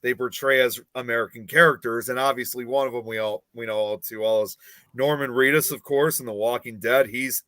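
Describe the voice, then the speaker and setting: A man's voice: mid-range voice, steady tone, a little fast, fairly bright tone, no room sound. A man co-hosting a casual podcast, chatty and fond of sharing trivia. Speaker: podcast co-host.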